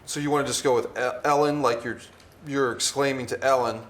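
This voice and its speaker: A man's voice: mid-range voice, fairly even intonation, a little fast, a bit bright. Man directing actors at a table read. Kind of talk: reading stage directions aloud and coaching actors.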